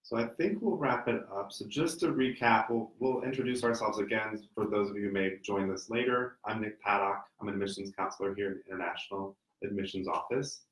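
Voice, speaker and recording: A man from Ohio with a lowish voice lays out facts in a steady, explanatory way. He speaks at a table in a meeting room that echoes around him. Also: fairly quick, few pauses. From Cincinnati, Ohio. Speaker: admissions counselor. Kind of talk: informational panel talk. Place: meeting room with branded backdrop.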